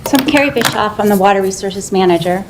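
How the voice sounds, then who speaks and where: Low-voiced woman explaining water law. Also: fast talker, heavy background noise. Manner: steady, explanatory, with fillers. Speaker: water resources manager. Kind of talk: council presentation.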